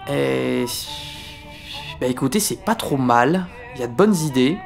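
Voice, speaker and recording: A man's voice: medium pitch, lively intonation, a little slow, vocal fry, faint music behind. A man playing a vain, dithering army captain. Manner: hesitant, defensive, self-important. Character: vain ambitious French WWI captain, indecisive. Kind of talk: amateur comedy audio drama.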